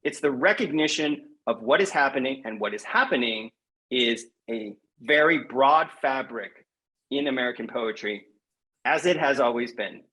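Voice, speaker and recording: A man's voice: medium pitch, moderate pace, bright, forceful tone, rough recording. A man with a mid-range voice talks as a guest poet on a podcast. He is thoughtful and emphatic, building his points through restatement in a conversational interview style.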